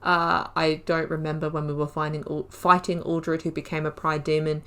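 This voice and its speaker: A woman's voice: low-pitched, little pitch movement, normal speed, fairly bright tone, clear, resonant tone. A woman giving casual, chatty commentary on a game playthrough. She thinks aloud with enthusiasm and reads in-game lore entries aloud. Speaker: gaming channel host.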